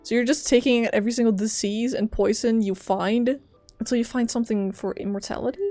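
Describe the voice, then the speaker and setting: A woman's voice: on the high side, steady tone, fairly quick, mellow, no room sound. Chatty woman game streamer. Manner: informal, chatty, reactive. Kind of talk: casual gameplay reaction commentary. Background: faint game music.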